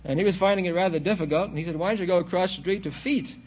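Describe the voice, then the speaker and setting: Quick-talking, higher-pitched man from New York City. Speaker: quiz show contestant, chiropodist. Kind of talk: conversational interview answers. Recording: dull, hissy old radio recording.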